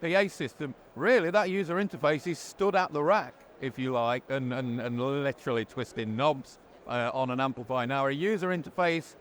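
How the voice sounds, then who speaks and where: A man presenting a product explainer interview, talking in a measured, explanatory way on a trade show floor. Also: medium pitch. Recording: faint background noise. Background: faint ambient noise.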